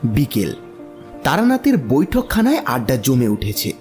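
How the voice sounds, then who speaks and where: A man's voice: medium pitch, wildly swooping pitch, a little slow, a little mellow, faint music behind. A man narrating an audiobook story from a script.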